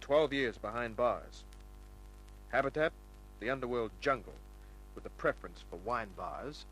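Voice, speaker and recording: An actor playing a cocky, wisecracking private detective who narrates his own case in a dry, smooth-talking way, in an old-time radio drama. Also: medium pitch, lively intonation, measured pace, a bit bright, low-quality recording. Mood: wry narrating. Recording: old radio recording with music.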